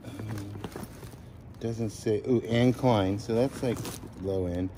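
Guy in his 40s, kinda low voice, casual slangy vlog talk, pretty noisy outside. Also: fairly even intonation, slow. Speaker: host of a storage auction vlog. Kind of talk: casual vlog chat and commentary while sorting finds. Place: outdoors at a storage unit lot, partly in a vehicle. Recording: noisy outdoor audio with vehicle sounds.

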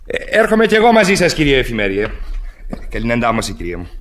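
Radio drama: an officious, courteous police inspector, sceptical, animated mid-range voice. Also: male voice, medium pitch. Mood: fussy, polite. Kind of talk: radio theatre acting.

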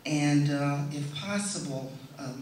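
A middle-aged woman giving a prepared testimonial speech to an audience in a measured, deliberate way. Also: sits low, darkish tone. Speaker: speaker giving a presentation. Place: indoor stage in a hall, before an audience. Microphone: stand microphone, heard from a distant camera. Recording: echoey hall, distant camera, faint noise.

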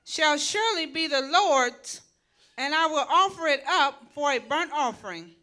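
Woman, high pitch, bright forceful tone, scripture reader, short read-aloud phrases, church. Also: expressive, a little slow. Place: church service.

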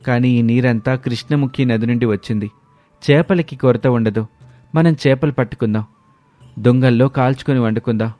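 A man's voice: mid-range voice, moderate pace, dark tone, no echo, faint music behind, natural voice. An actor playing a clever, level-headed villager who rallies his neighbours in a cartoon moral story. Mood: practical.

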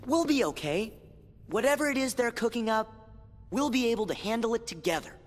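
A man's voice: extremely high pitch, expressive, fairly quick. A young-sounding man playing an earnest, plucky teenage boy hero in a video game, with an earnest, questioning manner. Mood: determined. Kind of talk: video game cutscene acting. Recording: hall reverb, music behind.

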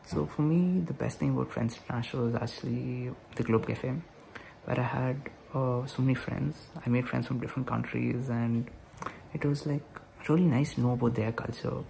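A young man with a gravelly, middle-pitched voice speaks casually into a webcam in a small bedroom. His talk is loose and relaxed, with plenty of "like" and "you know". A faint hum of room ambience sits behind him. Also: normal speed, low-quality recording. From India. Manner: relaxed, filler-heavy, says "like" and "you know". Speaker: law student. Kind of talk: casual testimonial.

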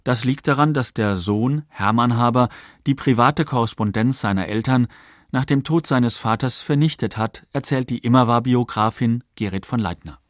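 A man reads scripted documentary narration with a measured, factual delivery. His pitch is middling and the studio sound is dry and close. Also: dry recording.

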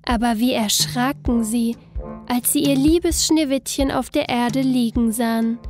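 A woman narrating a scripted fairy tale in an expressive, deliberate storytelling manner. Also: fairly high pitch, faint music behind.

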